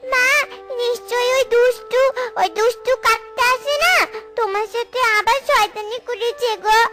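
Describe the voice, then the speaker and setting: A woman voicing a worried baby bird, very high. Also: steady tone, normal speed, music in the background. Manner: anxious, questioning. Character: young bird chick, worried child.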